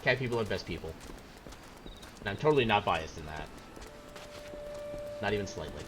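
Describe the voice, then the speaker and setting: A man's voice: on the low side, expressive, normal speed, background noise. A man giving casual live commentary as he streams a video game, chatty and playful. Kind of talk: casual live gameplay commentary with quest text read aloud. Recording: game music behind the voice. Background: video game music.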